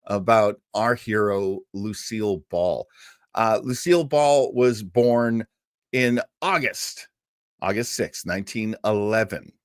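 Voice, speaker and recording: A man's voice: medium pitch, no echo, studio quality. A man hosting an old-time radio livestream in a casual, rambling, jokey way, chatting to his viewers. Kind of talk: casual livestream chat and introduction.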